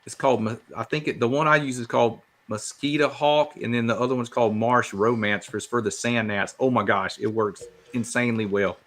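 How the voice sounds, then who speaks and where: A man's voice: medium pitch, steady tone, brisk, few pauses, a bit bright. A man chatting casually as a guest on a podcast. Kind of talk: casual chat.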